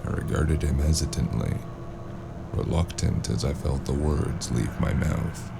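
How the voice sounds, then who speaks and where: A man playing a wary amnesiac drifter in a noir audio drama, hesitant and wry, with a very deep, gravelly voice. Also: a little slow, heavy background noise, heavily acted. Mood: brooding. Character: wary amnesiac male drifter narrating his noir tale. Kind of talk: noir audio drama acting and first-person narration.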